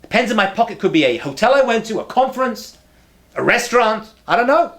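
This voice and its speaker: This is a man in his thirties giving an animated rabbinic lecture straight to camera. His pitch leaps and falls with real liveliness as he drives home repeated punchlines. He slips in humorous asides, and he talks into a close lapel mic in a quiet study lined with books. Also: fairly quick. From not from America.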